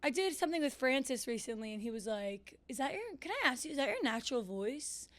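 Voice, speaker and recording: A young woman in her twenties co-hosting a podcast, chatting casually and saying "like" a lot, with a deep, raspy edge. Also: animated, fast talker, dry recording. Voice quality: deep, raspy when tired. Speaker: podcast co-host. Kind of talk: casual chat.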